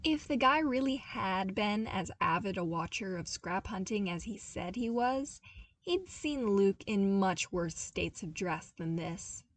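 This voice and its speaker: A woman reading a story aloud in an expressive, scripted narration style. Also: medium pitch, a little slow, distant mic. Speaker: podfic narrator reading fan fiction.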